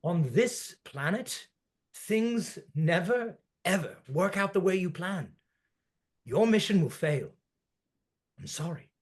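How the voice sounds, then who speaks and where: A man's voice: sits high, expressive, slow pace. A man playing a world-weary forty-year-old from another century, formal and fatalistic, in a dramatic table read. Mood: resigned. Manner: formal old-fashioned phrasing, theatrical, fatalistic.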